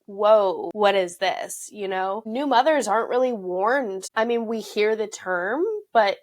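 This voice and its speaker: A woman's voice: medium pitch, unhurried. A woman hosting a podcast interview, relating to her guest in a casual, conversational way with fillers. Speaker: podcast host.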